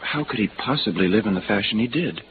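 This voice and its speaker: A man's voice: lowish voice, animated, quick pace, natural voice. A noisy, narrow vintage radio recording of a stately bartender played by a man. He speaks formally, with flowery courtesy and a pompous, discreet air, in 1950s radio drama style. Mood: confiding, formal.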